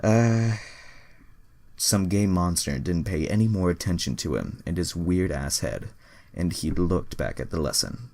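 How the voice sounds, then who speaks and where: A man's voice: low-pitched, expressive, measured pace, a little mellow. A man reading a story aloud in a mock-dramatic way, casually breaking into profane commentary. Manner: profane, exasperated asides. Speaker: reader and commentator. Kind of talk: mock-dramatic reading of crude fan fiction with casual asides.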